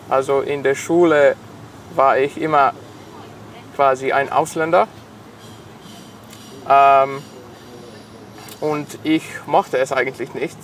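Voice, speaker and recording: A man of twenty-five, a native Serbian speaker with a Serbian accent, answers interview questions haltingly, searching for words in a second language. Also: mid-range voice, a little hiss or hum. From Sombor, Serbia. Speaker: interview guest, engineering student.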